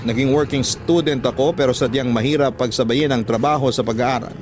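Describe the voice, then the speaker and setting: A man's voice: mid-range voice, fairly quick, background noise. A man with a Filipino accent reading a scripted first-person story as a dramatic radio narration. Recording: background music under the voice. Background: music.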